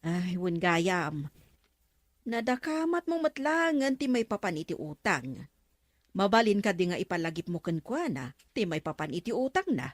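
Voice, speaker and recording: A woman's voice: on the low side, big pitch swings, normal speed, dry recording, clean studio sound. A woman playing an exasperated village councilwoman and creditor in a comedy audio drama, complaining with an Ilocano accent.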